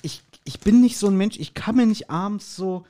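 A man's voice: high voice, moderate pace, dark tone. A man chatting casually and teasingly as a podcast co-host.

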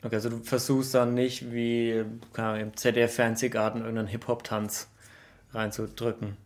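A man's voice: mid-range voice, level tone, a little fast. Easygoing male podcast host. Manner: jokey, easygoing. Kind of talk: casual interview chat.

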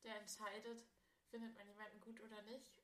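A woman's voice: medium pitch, flat delivery, brisk, a bit bright, roomy sound, slight background noise. A woman in her late twenties from southern Thuringia, hosting a casual podcast chat, giggly and full of fillers and self-corrections. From southern Thuringia, Germany. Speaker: podcast host.

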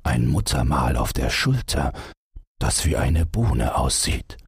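A man's voice: a bass voice, very animated, slow, breathy edge, no echo, studio quality, voice pushed down, exaggerated voice. A man voicing an old peasant farmer who is weary and stern. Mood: patient.

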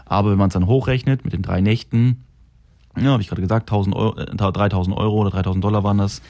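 Podcast host, male, low warm voice, loose rambling solo chat with many self-corrections. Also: steady tone, fast. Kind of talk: casual solo podcast talk. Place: holiday rental in Florida.

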